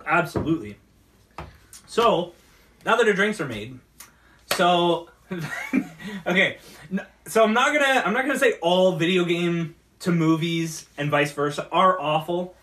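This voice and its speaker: Halting, higher-voiced man, distant from the mic. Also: lively intonation, pushed and bright. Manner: halting, rambling, repeats words. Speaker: talk show host. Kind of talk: casual geek movie chat.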